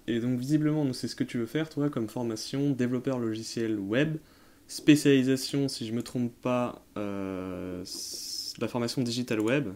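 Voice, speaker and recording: A man hosting a tech Q&A in a casual, unscripted way, often hesitating as he thinks aloud and using informal slang. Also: mid-range voice. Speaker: tech tutorial host answering viewer questions. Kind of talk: casual off-the-cuff explanatory commentary.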